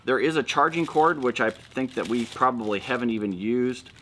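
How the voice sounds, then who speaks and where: A man's voice: mid-range voice, moderate pace, sharp tone, slight background noise. A man giving casual, matter-of-fact unboxing commentary, explaining things as a vlog co-host.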